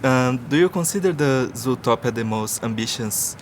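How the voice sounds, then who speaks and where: A man with a mid-range voice asks eager, friendly questions at a press junket. There is clear background noise all around him. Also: mid-range voice, expressive, measured pace. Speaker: entertainment interviewer.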